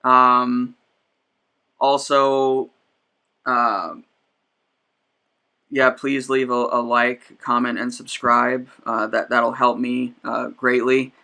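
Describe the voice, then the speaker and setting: A man's voice: medium pitch, little pitch movement, slow pace, vocal fry, long pauses, sharp tone, smooth. A man in his twenties hosting a casual podcast chat, hesitant with many fillers. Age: 20s. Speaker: podcast host.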